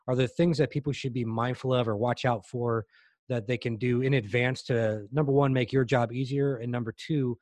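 A man's voice: medium pitch, darkish tone, dry recording. A man hosting a podcast interview, asking long, rambling questions in a friendly way. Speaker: podcast host.

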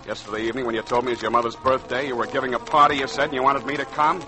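A man plays a tough, wry private eye in a 1940s radio mystery. His voice is medium-pitched and hard-boiled, with music running behind it on an old recording. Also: steady tone, very fast, lightly acted. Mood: wry narrating. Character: hard-boiled, wisecracking male private detective.

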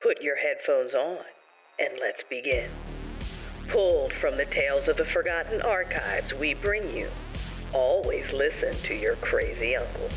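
A male announcer reads a scripted show introduction in a mid-pitched voice. Faint music sits behind him. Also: animated, measured pace, a bit bright, poor audio.

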